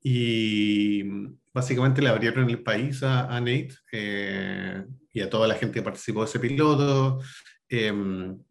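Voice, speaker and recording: A man's voice: on the low side, a little slow, gravelly. A Chilean man in his thirties, a venture capital partner, speaking loosely in a casual interview with many fillers and restarts. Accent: Chilean Spanish.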